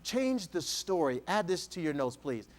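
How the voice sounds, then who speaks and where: A man from Texas giving a motivational keynote speech to an audience, playful and joking, slowing down for emphatic lines. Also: on the high side. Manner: animated, dramatic pauses, slow emphatic dictation. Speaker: motivational speaker.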